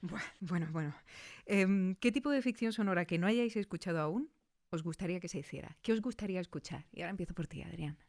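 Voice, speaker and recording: A woman's voice: on the low side, fast, darkish tone. A woman from hosting a casual interview and putting questions to guests. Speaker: interviewer, host.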